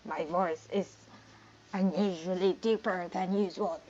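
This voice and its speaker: A woman's voice: on the low side, fairly even intonation, unhurried, a little mellow, lo-fi sound, soft background music. A woman casually reacting as she streams a game.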